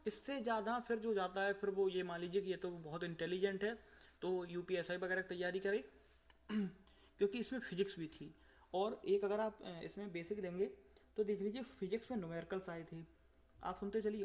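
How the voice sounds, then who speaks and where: एक युवा पुरुष, परीक्षा तैयारी चैनल का होस्ट, दर्शकों से अनौपचारिक अंदाज़ में बात करते हुए, रुक-रुक कर और अंकों को दोहराते हुए समझाता है।. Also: male voice, high voice, a little mellow. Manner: explanatory, repeats numbers, frequent fillers. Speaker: exam-prep channel host. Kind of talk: casual talk to viewers.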